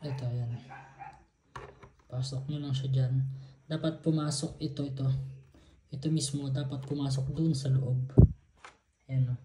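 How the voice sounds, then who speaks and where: A Filipino man giving a casual, halting hands-on tutorial, step by step, like an informal vlogger. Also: mid-range voice, level tone, mellow. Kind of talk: casual hands-on tutorial, mixing Tagalog and English. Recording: roomy echo, noticeable background noise.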